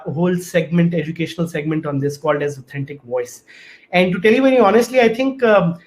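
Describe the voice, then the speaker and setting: Guy on a video call panel, voice on the higher side, earnest, thinks out loud. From Pakistan. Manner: earnest, reflective, searching for words. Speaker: panelist, event organizer. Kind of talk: casual panel talk over a video call.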